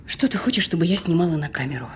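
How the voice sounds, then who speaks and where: A woman's voice: lowish voice, expressive, racing, slight background noise, natural voice. A woman acting in an audio drama as a weary, despairing, insistent caller who speaks bluntly and with resignation. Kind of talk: audio drama phone dialogue.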